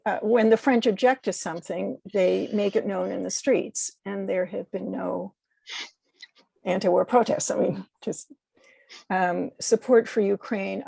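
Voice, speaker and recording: A woman's voice: mid-range voice, steady tone, moderate pace, gravelly, mellow, husky, no room sound, poor audio. A woman from the United States with an American accent, giving conversational commentary as an interview guest.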